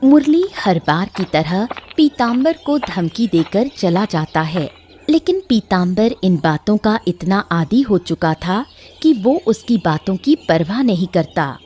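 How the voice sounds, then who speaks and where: Expressive, unhurried woman storyteller. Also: medium pitch, a little hiss or hum. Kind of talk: scripted moral-story narration.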